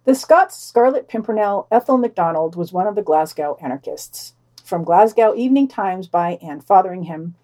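Mellow-voiced woman reading news with dry asides. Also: mid-range voice, lively intonation, normal speed. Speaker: podcast news reader. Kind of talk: scripted news digest with wry commentary.

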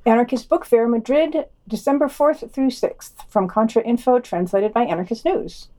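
Mellow-voiced woman reading podcast news. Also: a little high, moderate pace. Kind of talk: scripted news reading with commentary.

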